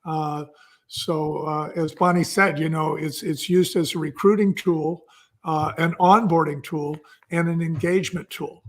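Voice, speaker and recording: High-pitched man as interview guest. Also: fairly even intonation, a little slow. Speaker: guest entrepreneur. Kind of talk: casual conversational interview.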